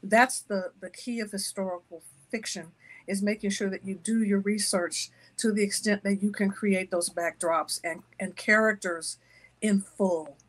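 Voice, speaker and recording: A woman's voice: mid-range voice, steady tone, measured pace, a bit bright, rough recording. Emphatic woman author in conversation. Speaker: author and interview guest. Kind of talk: conversational interview answers.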